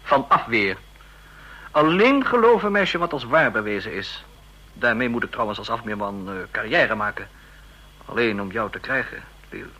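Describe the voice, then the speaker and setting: An actor playing an ambitious, jealous young counter-espionage agent in a radio drama, insistent and sceptical in manner. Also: male voice, medium pitch, animated, moderate pace, pushed and bright, husky, poor audio. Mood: ambitious, earnest. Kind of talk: Dutch radio drama acting.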